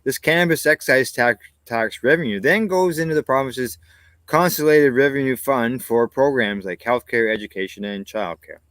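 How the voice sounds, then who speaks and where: Canadian guy co-host reading news steady, dry close sound. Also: mid-range voice, moderate pace, dry recording. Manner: plain, steady reading with short agreeing asides. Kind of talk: casual news reading and chat.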